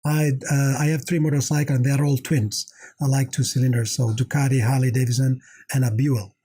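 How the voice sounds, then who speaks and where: A man's voice: medium pitch, little pitch movement, fairly quick, warm tone, slightly rough. A middle-aged man from Italy with an Italian accent, answering interview questions conversationally as an executive guest.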